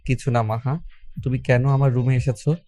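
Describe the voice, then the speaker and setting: A man's voice: mid-range voice, flat delivery, moderate pace, mellow, natural voice. A man playing an irritated, unwell young man, curt and dismissive, in an audio love story. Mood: weary, dismissive.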